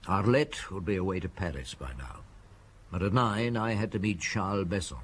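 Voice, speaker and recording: A detective chief inspector in an audio drama, deep-toned and widely inflected. He is dry, reserved and probing, with few words to spare. Faint noise sits behind the voice. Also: expressive, normal speed. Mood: weary narration. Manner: dry, reserved, terse questioning. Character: measured, probing male French police chief inspector. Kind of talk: radio drama dialogue and narration.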